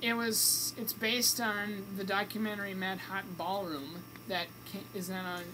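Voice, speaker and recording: A woman's voice: mid-range voice, flat delivery, normal speed, pushed and bright. Hesitant woman co-host, noisy recording. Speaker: radio show co-host. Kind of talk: casual movie review banter.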